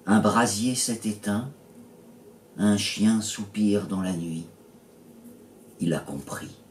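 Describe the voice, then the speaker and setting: Slow, low-voiced man reading poetry, roomy echo. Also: darkish tone. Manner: measured, pausing between short phrases. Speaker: poet reading own poems. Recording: roomy echo, distant mic, faint noise.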